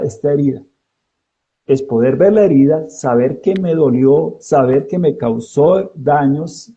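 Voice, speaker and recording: A man who is a psychologist, speaking slowly and reflectively on a live online call, with many hesitant fillers. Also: on the high side, dark tone, lo-fi sound. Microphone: video call.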